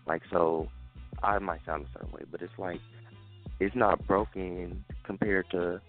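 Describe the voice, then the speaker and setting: A man's voice: low voice, steady tone, measured pace. A man from California chatting on a radio show, agreeable and rambling with lots of fillers. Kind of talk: casual radio chat. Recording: lo-fi phone-line sound with faint music.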